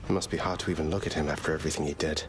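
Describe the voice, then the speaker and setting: A man's voice: a bass voice, fairly even intonation, rapid-fire, noisy background. A man playing an easygoing, affectionate young prince and big brother in a video game, casual and sincere.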